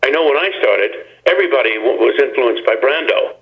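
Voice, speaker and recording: An older actor talking as a guest in a phone-in interview, reflective and rambling, with many restarts. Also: male voice, mid-range voice, expressive, a little fast, highs cut off.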